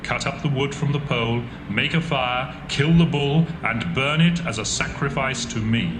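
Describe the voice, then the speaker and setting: A man voicing the calm, commanding Lord. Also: medium pitch, moderate pace, natural voice. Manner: calm, reassuring, authoritative. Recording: echoey with music underneath.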